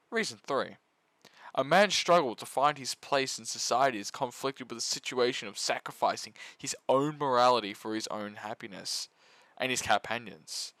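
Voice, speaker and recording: A man's voice: mid-range voice, pushed and bright, dry recording. A man narrating a comedic scripted review as a video essayist, mock-serious, sarcastic and sweary.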